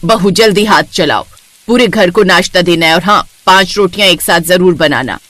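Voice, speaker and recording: A woman voicing a bossy, sharp-tongued middle-aged mother-in-law who scolds and demands, in a cartoon story. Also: on the low side, normal speed, dry recording.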